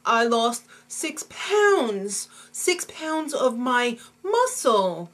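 A woman playing a whiny, bullying male reality show contestant in a comic skit, pleading in an overwrought, drawn-out way. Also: a little high, sing-song, a bit bright, full character voice. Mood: dramatic, demanding.

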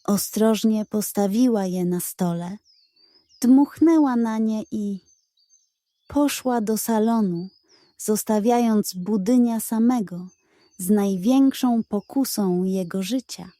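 A woman narrating a scripted children's bedtime story with expressive, deliberate phrasing. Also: mid-range voice, no echo.

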